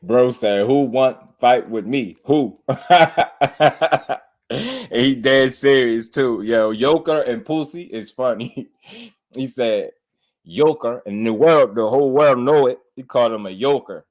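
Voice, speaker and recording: A man's voice: medium pitch, slow pace, a little mellow. A young man hosting a casual boxing reaction show, laid-back, laughing often and repeating himself as he talks. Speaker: boxing channel host.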